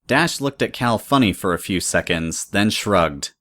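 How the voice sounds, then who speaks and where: Very low-voiced woman narrating a story. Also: expressive, a little slow, fairly bright tone.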